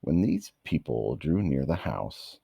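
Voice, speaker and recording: A man with a gravelly, husky voice reading a children's bedtime story aloud in an expressive, dramatic manner. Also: extremely low pitch, slow pace, no echo, studio quality.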